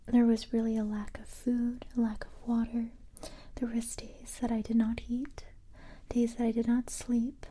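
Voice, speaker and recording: A woman speaks in a breathy, very dark and mellow whisper for an ASMR roleplay. Her voice sits fairly high yet stays even, as she plays a tough but gentle recruiter. The delivery is halting and reassuring. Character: capable, tough yet gentle young female special-forces recruiter.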